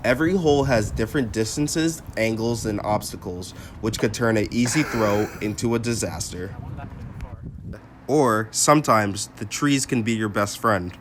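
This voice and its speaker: A man's voice: fairly low pitch, moderate pace, a little hiss or hum. A man reporting a student news package in an upbeat, persuasive voice, partly from an outdoor park. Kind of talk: news package narration and stand-up.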